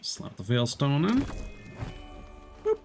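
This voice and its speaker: A man streaming a video game, chatting along in a relaxed, joking way and laughing often. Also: mid-range voice, takes it slowly, creaky, over music. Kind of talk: casual gameplay commentary.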